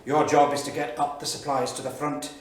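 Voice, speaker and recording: A man's voice: fairly high pitch, little pitch movement, brisk, close to an everyday voice. A man playing an anguished, middle-aged English writer and war veteran in a staged diary reading, sardonic, emphatic and literary in delivery. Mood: grave, reflective. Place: small theatre studio. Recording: echoey theatre, distant mic, faint noise.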